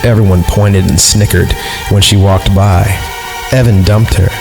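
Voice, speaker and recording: A man with a fairly deep voice hosts a horror podcast, reading stories aloud. He slips into casual, sarcastic asides in an irreverent way. Music plays clearly behind him. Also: fairly even intonation, unhurried. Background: background music.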